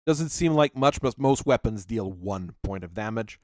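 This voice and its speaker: A man's voice: fairly low pitch, animated, dry recording, clean studio sound. Man mulling over game rules aloud. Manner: thinking aloud, reading rules out, halting with fillers. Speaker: channel host. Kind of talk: talking through tabletop character creation.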